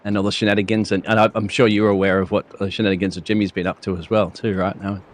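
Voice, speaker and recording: A man's voice: on the low side, flat delivery, fast. A man co-hosting a live broadcast with casual, bantering commentary.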